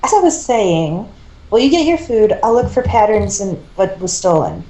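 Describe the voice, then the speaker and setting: A woman with a mellow, mid-pitched voice reads a fan-written story aloud. She handles both narration and dialogue. The amateur recording is noticeably roomy and echoey. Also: sing-song, moderate pace. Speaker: fan fiction reader.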